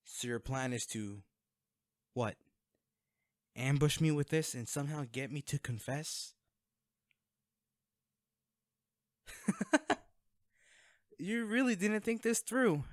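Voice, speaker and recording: A man's voice: a little high, expressive, takes it slowly, long pauses, no room sound, clean studio sound. A man playing a guarded, wealthy vigilante in an audio drama, speaking in a curt, sarcastic and threatening way to the listener. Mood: mocking.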